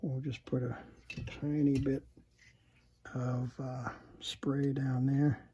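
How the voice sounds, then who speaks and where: A man giving casual, unscripted repair commentary at a workbench, halting and muttering to himself as he works. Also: medium pitch, flat delivery, dark tone, muffled recording, slight background noise. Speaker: host demonstrating electronics repair.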